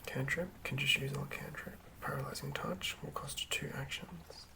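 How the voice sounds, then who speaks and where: Asmr game master whispering real close to the mic, breathy, bit of gravel, careful phrasing. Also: mid-range voice, steady tone, recorded from a distance, rough recording. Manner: hushed, deliberate phrase-by-phrase reading. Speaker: host and game master reading a monster stat block. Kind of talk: whispered ASMR read-through. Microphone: close microphone.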